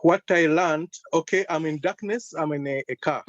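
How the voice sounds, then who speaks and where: A man from Uganda joins the panel remotely from inside a car. His voice is fairly high and he speaks slowly and deliberately, and the call audio sounds dull and dry. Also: no echo.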